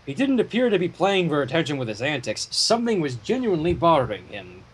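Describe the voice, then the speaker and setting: A man reading fan fiction aloud in an expressive way, with casual asides. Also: on the high side, fast, low-quality recording. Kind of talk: story narration with casual commentary. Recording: home microphone with clear noise.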